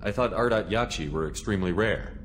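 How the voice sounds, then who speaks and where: An actor playing a steady, businesslike military commander in a video game, asking direct questions. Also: male voice, lowish voice, fairly quick, in a large hall, a little hiss or hum, close to an everyday voice. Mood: probing, sympathetic. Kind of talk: video game dialogue acting.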